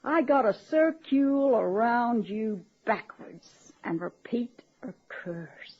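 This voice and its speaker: A woman's voice: on the high side, slow, slightly rough, heavily acted. An actress playing a superstitious, pushy working-class woman, blunt, earnest and ungrammatical, in a radio comedy drama. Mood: insistent. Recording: dull, lo-fi old radio recording.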